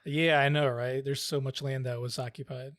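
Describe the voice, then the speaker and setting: A man's voice: mid-range voice, level tone, normal speed, a bit bright, dry recording. A young man co-hosting a podcast, rambling and searching for words as he asks questions. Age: young adult. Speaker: podcast co-host. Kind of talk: casual chat, asking questions.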